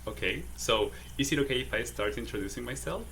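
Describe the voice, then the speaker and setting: A man's voice: mid-range voice, brisk, gravelly, bright, forceful tone, slight background noise. A man from Chile, a wellness coach speaking English as a second language, talking hesitantly as a guest on a livestream. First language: Spanish. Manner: hesitant, says "okay?" often. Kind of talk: casual livestream interview.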